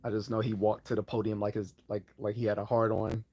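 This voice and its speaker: A man hosting a relaxed livestream Q&A, his slightly low voice worn hoarse. He wanders through his answers, trailing off into fillers and starting sentences over as he reads and responds to chat. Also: fast. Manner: rambling, hesitant, many fillers and restarts. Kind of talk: casual live Q&A chat.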